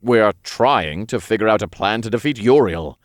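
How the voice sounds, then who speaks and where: A man voicing a tired male archangel who speaks in a slow, sighing, exasperated way. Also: fairly low pitch, expressive, no room sound, studio quality, close to an everyday voice. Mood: weary.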